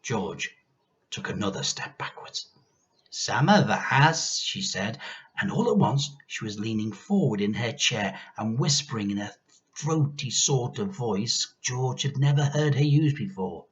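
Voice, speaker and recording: A man reading a children's story aloud in an expressive, theatrical narrating style. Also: mid-range voice, a little slow, highs cut off.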